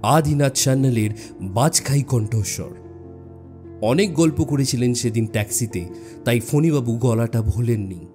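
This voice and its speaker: A man narrating a suspense story in a measured, dramatic way with deliberate pauses. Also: mid-range voice, darkish tone. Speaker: audiobook narrator. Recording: music bed behind the voice. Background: background music.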